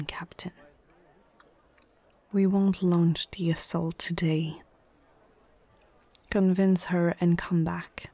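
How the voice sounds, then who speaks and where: A woman playing a stern, proud female orc chieftain in a whispered ASMR fantasy roleplay, speaking in short, measured phrases with a husky, breathy voice. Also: fairly low pitch, mellow, no echo.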